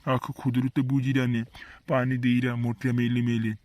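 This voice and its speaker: A man's voice: medium pitch, flat delivery, normal speed, a little mellow, lightly acted. A man voicing a practical, insistent villager in a comedy cartoon, with a rural western Madhya Pradesh accent and repetitive lines.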